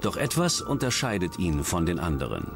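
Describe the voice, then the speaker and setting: A man's voice: low-pitched, huge pitch swings, fairly quick, creaky, exaggerated voice. A man reading scripted true-crime documentary narration as the narrator. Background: background music.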